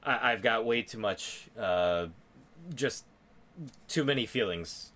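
A man's voice: lowish voice, takes it slowly, bright, forceful tone. A man co-hosting a podcast, talking casually with the others in an informal conversation. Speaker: podcast co-host. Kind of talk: casual chat.

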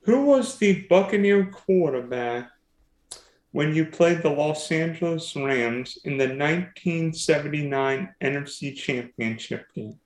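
A man's voice: on the high side, animated, measured pace. A man hosting a casual sports podcast, teasing and quizzing his guest. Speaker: podcast host. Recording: roomy echo.

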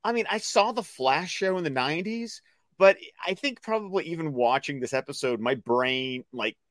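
A man's voice: on the high side, lively intonation, moderate pace, fairly bright tone, dry recording, studio quality. A man giving casual fan commentary as a co-host, chatty and repeating points for emphasis. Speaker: podcast co-host.

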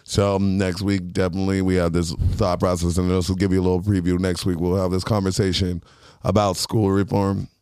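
A man's voice: sits low, little pitch movement, fairly quick, a little mellow, smooth, no echo. A man co-hosting a casual podcast, rambling and swearing freely as he talks. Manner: rambling, swears a lot, laughs often. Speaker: podcast co-host.

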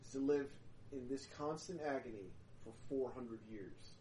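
Icy vampire executioner, low and hushed, played by a man, calm menace. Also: normal speed, roomy sound, distant mic, slight background noise, lightly acted, poor audio. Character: cold ruthless vampire executioner.

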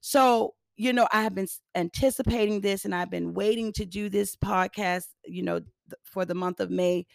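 A hoarse, raspy woman hosting a motivational podcast, repeating phrases for emphasis. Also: medium pitch, steady tone, moderate pace, no echo. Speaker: podcast host. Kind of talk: upbeat motivational podcast talk.

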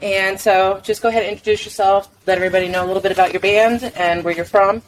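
A woman's voice: mid-range voice, fairly even intonation, quick pace, slight background noise, rough recording. A woman hosting a music podcast, chatty and upbeat as she interviews a guest. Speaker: podcast host.

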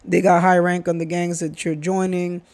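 Earnest New man, bright pitch. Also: flat delivery, normal speed. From Bronx, New York. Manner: earnest, restarts and repeats phrases. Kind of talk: casual chat.

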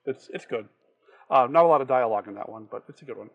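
A man talks casually about films as a podcast guest, explaining details like someone who knows the subject. His pitch is average and the recording is dry and close. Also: animated, fast talker, dry recording, muffled recording. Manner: knowledgeable, explanatory. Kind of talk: casual film discussion.

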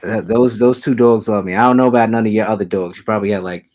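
A man's voice: fairly low pitch, steady tone, fast, darkish tone. A man hosting a casual podcast, teasing his co-hosts and laughing often. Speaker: podcast host.

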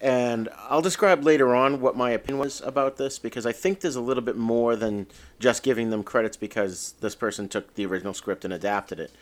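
A man's voice: mid-range voice, brisk, hardly any pauses. A man hosting a casual film review podcast, reading credits with joking asides. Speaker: podcast host.

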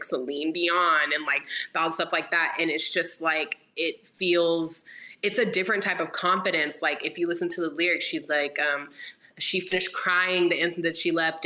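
Bright-voiced giggly woman, deep tone. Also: steady tone, normal speed. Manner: giggly, sings snippets, many restarts. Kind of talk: casual chat.

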